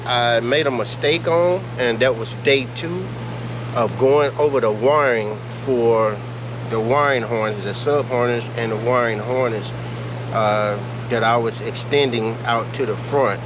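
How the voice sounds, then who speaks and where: A man talks straight to camera in a loose, rambling vlog, with lots of ums and false starts. His voice sits at an ordinary middle pitch. A loud steady hum and traffic noise run underneath. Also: measured pace. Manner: rambling, many filler sounds and restarts. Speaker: vehicle DIY vlogger. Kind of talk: casual talk to camera. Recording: noisy, with steady hum behind.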